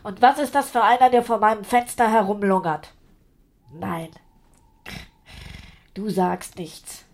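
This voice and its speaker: A woman playing a sad village outcast in a radio play, gently reproachful, forgiving but firm. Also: mid-range voice, fairly even intonation, a little slow, close to an everyday voice. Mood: gentle, resigned.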